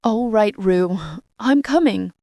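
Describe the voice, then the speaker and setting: A woman's voice: a little high, sing-song, slow, warm tone, breathy edge, dry recording, clean studio sound, pitched above the performer's own voice, full character voice. A woman voicing an earnest young boy who speaks solemnly to his friends.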